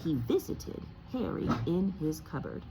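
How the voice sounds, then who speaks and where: A woman narrating a children's story aloud in an expressive read-aloud style. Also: sits low, unhurried, noisy background.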